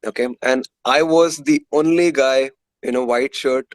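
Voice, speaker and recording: Indian-accented man, lower voice, close dry sound. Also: steady tone, a little slow, dry recording. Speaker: musician being interviewed. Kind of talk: casual interview storytelling.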